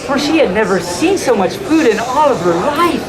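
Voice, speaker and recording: A storyteller reading a bedtime tale to a live audience informally, haltingly, often repeating and correcting phrases. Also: medium pitch, sing-song, low-quality recording. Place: large indoor hotel room at a convention. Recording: loud crowd in a large public room.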